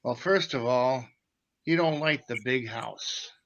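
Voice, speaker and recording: A man's voice: mid-range voice, slow pace, a bit bright, dull audio. A man playing a tough, sly gangster henchman with insinuating menace in 1940s radio acting style. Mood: threatening. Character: Stuart, menacing gangster henchman delivering threats for his boss.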